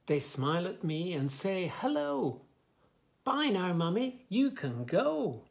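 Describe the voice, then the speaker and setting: A man in his fifties reading a picture book aloud to young children, with expressive, sing-song delivery and soft asides. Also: high voice, takes it slowly, gravelly. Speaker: children's story reader, host. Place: small furnished room indoors, bookshelves.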